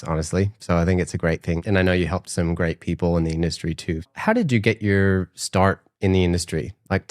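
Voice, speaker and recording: A man's voice: sits low, darkish tone, no room sound, studio quality. A man hosting an interview, asking questions in a friendly, affirming way. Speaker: podcast host. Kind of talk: casual interview conversation.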